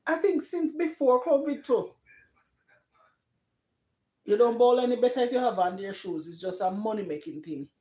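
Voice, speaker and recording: A woman's voice: fairly high pitch, moderate pace, pauses often, mellow. A middle-aged woman with a Jamaican accent casually hosting a live stream and reading out viewers' comments. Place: living room, indoors. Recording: roomy echo of a living room.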